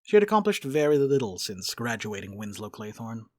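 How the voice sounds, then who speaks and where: A man narrating a dramatic reading as a storyteller, with expressive, scripted delivery. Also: fairly low pitch, a little fast, no room sound, clean studio sound.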